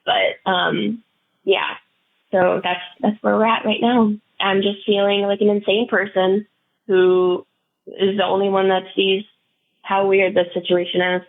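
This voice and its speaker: A woman calling in to a show with a personal update, her voice hoarse and froggy, talking haltingly and earnestly with many restarts. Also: mid-range voice, level tone. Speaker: caller. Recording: tinny phone line.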